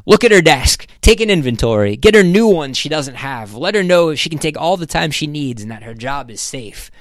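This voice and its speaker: Man voicing a brash, emphatic executive, pitched up. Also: animated, normal speed, fairly bright tone, no room sound, studio quality. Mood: flustered. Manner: emphatic, insistent, blustering. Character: brash, expansive middle-aged male corporate executive, bossy glad-hander.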